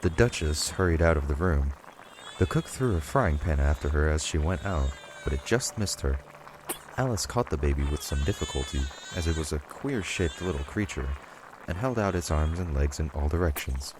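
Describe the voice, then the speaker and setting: A man's voice: rumbling low, animated, normal speed, background noise. A man reading scripted audiobook narration of a children's story.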